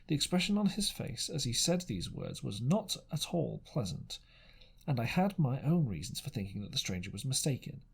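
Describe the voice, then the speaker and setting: A man narrating an adventure audiobook as an amateur reader, dramatic in style but halting, stumbling over phrases and rereading them. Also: medium pitch, very animated, creaky, slightly rough.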